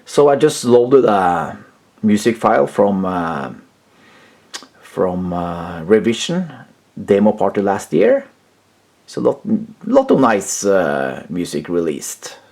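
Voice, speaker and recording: Halting low-voiced man with lively, swinging pitch. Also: creaky. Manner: halting, hesitant, searching for words. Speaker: host of a retro computer channel. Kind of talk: casual unscripted tech demonstration.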